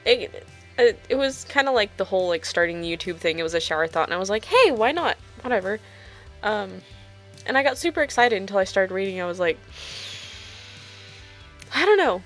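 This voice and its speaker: A woman's voice: medium pitch, lively intonation, a little fast, long pauses. Casual woman with music behind her. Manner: self-deprecating, informal asides. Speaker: YouTuber reading own fanfiction. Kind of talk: casual storytelling and video chat.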